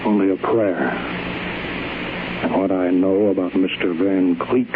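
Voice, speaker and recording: Firm chief on noisy old radio. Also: male voice, low-pitched, fairly even intonation, unhurried, narrow, tinny audio. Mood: grave, resolved. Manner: commanding, clipped, firm. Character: steely, resolute chief engineer leading his men. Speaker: actor in a radio drama. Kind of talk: old-time radio drama acting.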